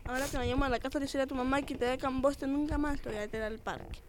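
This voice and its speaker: A woman's voice: sits high, steady tone, racing, close to an everyday voice. A woman playing brusque waitress and grandmother roles in improvised radio theatre, with a colloquial, complaining manner. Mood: scolding. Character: brusque waitress and scolding grandmother, quick to defend herself. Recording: noisy amateur recording with clicks.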